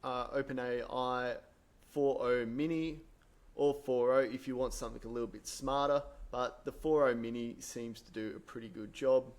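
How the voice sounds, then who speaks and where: Casual man explaining a software tutorial. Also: medium pitch, fairly even intonation, moderate pace. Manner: informal, explanatory, thinking aloud while typing. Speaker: tutorial presenter.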